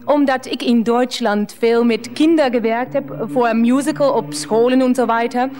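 A woman's voice: a little high, fairly even intonation, normal speed, a little mellow, natural voice. A woman playing a sweet, doting German lodger in a radio play, coaxing and slipping German words into her German-accented speech. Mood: nervous, formal.

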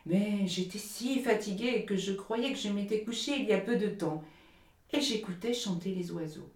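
A woman's voice: mid-range voice, normal speed, darkish tone, voice pushed up, natural voice. A woman voicing little Hans, a humble, timid, good-natured man, in a storybook reading. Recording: roomy echo.

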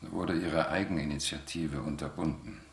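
Very deep, gravelly pensive investigator. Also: male voice, full character voice. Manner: pensive, deliberate. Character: thoughtful, speculating male investigator musing on alien mysteries. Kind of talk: German science fiction radio drama acting.

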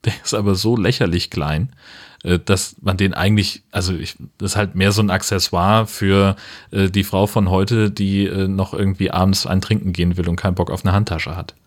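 A man co-hosting a podcast, casually discussing a film and haltingly thinking aloud as he explains. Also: sits low, level tone. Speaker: podcast co-host. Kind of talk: casual film-review chat.

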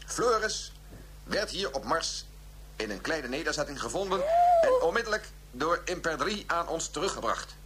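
An actor playing a stiff professor giving a stilted public address in a Dutch radio drama, with odd emphases and a nervous little cough. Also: male voice, on the high side, wildly swooping pitch, a little slow, pauses often. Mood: stiffly formal. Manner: stilted, odd emphases, frequent throat clearing. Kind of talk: Dutch science fiction radio drama acting.

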